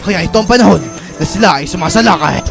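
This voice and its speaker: A man playing a stern, preachy mentor to two angels, creaky and commanding, in a cartoon fan dub. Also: high-pitched, expressive, quick pace. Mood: grave, explaining. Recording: fan dub with music behind. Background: music.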